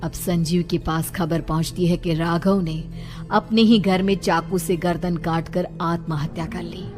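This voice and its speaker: Lady narrating scary story from script, slow, lowish voice, music pretty loud behind. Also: fairly even intonation.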